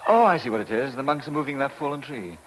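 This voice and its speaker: Man playing a curious police sergeant, animated. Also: mid-range voice, fast talker, natural voice. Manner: chatty, inquisitive, informal. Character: affable, curious male police sergeant, deputy to the inspector. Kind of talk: radio play acting. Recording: noisy old radio recording with clicks.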